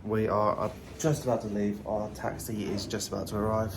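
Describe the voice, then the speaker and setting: A man's voice: fairly low pitch, level tone, a little fast, background noise. A man talking casually and chattily as a travel vlogger. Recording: clear music behind the voice.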